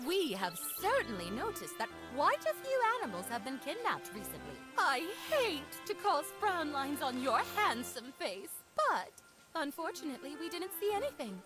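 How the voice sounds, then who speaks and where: Magpie queen for a cartoon game: haughty, flirty, money-loving, high-pitched and extravagantly melodic. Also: normal speed, over music. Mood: grand, self-satisfied. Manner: theatrical, haughty, drawling flattery. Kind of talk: cartoon adventure game voice acting.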